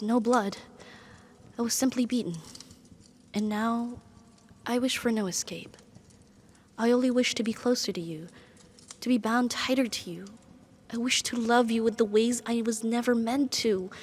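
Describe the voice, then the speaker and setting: A proud female demon played by a woman speaks in a fairly high voice for a romantic ASMR roleplay. She is possessive and deeply devoted, choosing formal, dramatic words and setting them down deliberately, with pauses. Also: darkish tone. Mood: lovesick, confessing.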